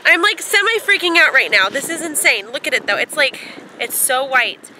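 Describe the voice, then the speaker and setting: A woman's voice: high-pitched, lively intonation, normal speed, pushed and bright, a little hiss or hum, poor audio. A woman in her twenties vlogging a family outing, chatty and giggly, filmed on a handheld camera at arm's length. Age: 20s. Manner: chatty and giggly, lots of laughing. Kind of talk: casual family vlog. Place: aquarium and seaside street, outdoors.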